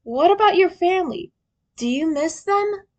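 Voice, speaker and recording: A woman voicing a wounded young male turtle in a story, teasing and sentimental, with an emotional, halting delivery. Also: very high voice, animated, a little mellow, voice pushed up.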